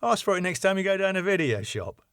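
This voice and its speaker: A man's voice: sits high, sing-song, fast, a bit bright, clean tone, no echo, voice pushed up, full character voice. A man voicing a smug, teasing man winding up a friend. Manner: teasing, dry.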